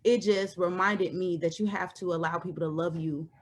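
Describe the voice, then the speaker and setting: A woman in her thirties, a guest, talking things through thoughtfully with many pauses and fillers. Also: on the low side, steady tone, slight background noise. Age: 30s. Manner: thoughtful, pauses with lots of ums and you knows. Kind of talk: reflective conversation.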